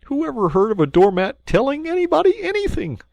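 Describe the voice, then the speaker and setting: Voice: medium pitch, very animated, unhurried, dry recording, voice pushed up. A reader voicing a fussy, prim male mole who talks in a pettish, lecturing way, in a storybook reading. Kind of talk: storybook read-aloud.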